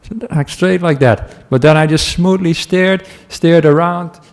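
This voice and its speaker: A man from the Netherlands with a Dutch accent, a meditation teacher giving a relaxed talk to a class, telling stories with asides and small laughs. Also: on the high side, unhurried. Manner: gentle, chatty storytelling with asides and small laughs.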